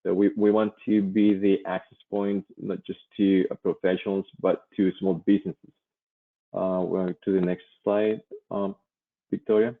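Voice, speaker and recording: A man from Colombia with a very deep, evenly pitched voice gives a slide presentation. He is a native Spanish speaker and talks haltingly. The sound is thin and phone-like, as on an online call. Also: little pitch movement, gravelly. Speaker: chamber vice president, presenter. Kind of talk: slide presentation at a virtual event. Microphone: online video call. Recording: muffled phone-like call audio.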